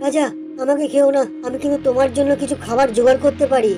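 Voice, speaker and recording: Woman as a quick-talking clever cartoon goat. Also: high voice, little pitch movement, over music, natural voice. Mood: pleading. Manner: coaxing, pleading. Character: clever, quick-witted friendly male goat. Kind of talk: children's animated folk tale acting.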